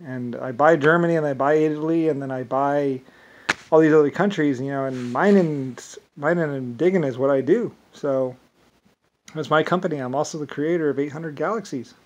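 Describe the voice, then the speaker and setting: Man, irish accent, rambling monologue, fairly high voice, stop-start delivery. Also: a little hiss or hum. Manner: rambling, halting, many restarts and fillers. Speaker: self-described inventor.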